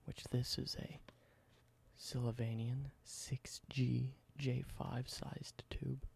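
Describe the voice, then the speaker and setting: A man in a whispered ASMR roleplay, softly explaining everyday objects slowly and simply. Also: mid-range voice, flat delivery, gravelly, husky. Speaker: ASMR creator.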